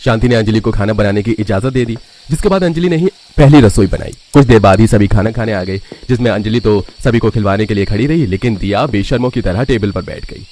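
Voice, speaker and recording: A man's voice: fairly low pitch, brisk, few pauses, a little mellow. A man narrating a scripted cartoon family story.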